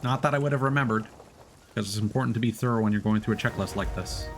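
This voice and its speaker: A man's voice: lowish voice, fast talker, background noise. A man casually commenting on his gameplay as a streamer, chatting through tips with many fillers.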